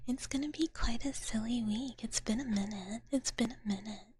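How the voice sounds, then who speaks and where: Woman, whispered ASMR, extremely breathy and husky, soft and gentle, repeated phrases, slightly worn-out voice, live streamer. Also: on the high side, moderate pace. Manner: speaks of herself in third person. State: voice tiring, slightly strained. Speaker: live streamer hosting as a virtual character.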